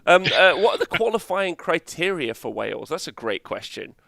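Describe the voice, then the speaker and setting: A man hosting a live stream chat, enthusiastic and talking in quick bursts. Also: fairly high pitch, very animated, vocal fry, pushed and bright, no echo. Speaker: host.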